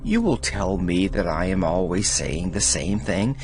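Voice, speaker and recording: Male audiobook narrator, very deliberate pacing, measured and solemn, faint music underneath. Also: deep voice, animated. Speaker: audiobook reader. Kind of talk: scripted reading of devotional letters.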